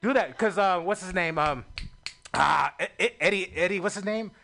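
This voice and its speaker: A man's voice: high voice, normal speed, vocal fry, pushed and bright. A man giving a comic feedback in a chatty, brotherly way, calling him "bro" again and again. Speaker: comedy show judge.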